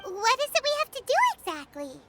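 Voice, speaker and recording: A very high, squeaky little fairy girl, cheeky and boastful, swooping up and down as she exclaims. It is game character acting with prominent music behind it. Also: big pitch swings, brisk. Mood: curious. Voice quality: childlike, squeaky. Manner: refers to self in third person, exclamatory. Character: tiny excitable floating fairy girl companion, cheeky and boastful.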